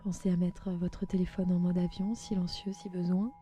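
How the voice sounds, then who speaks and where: A woman host leads a guided relaxation meditation, speaking calmly and gently in slow, spaced instructions. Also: fairly low pitch, slight background noise. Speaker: podcast host and yoga nidra guide.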